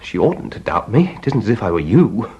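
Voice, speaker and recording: Flustered young English husband, wildly swooping voice. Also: male voice, on the low side, very animated, brisk, mellow, lo-fi sound. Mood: anxious pleading. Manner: well-bred, exclamatory, pleading. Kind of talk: vintage stage farce acting.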